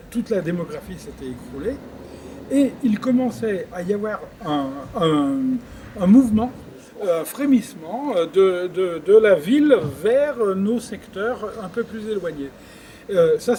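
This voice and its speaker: A high-voiced man speaks as an interviewed local official, reflecting at length. His delivery is halting, with repeated words as he searches for phrasing, and there is clear background noise around him. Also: expressive, warm tone. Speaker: former president of an intercommunal council, interviewed. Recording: clearly noisy background ambience.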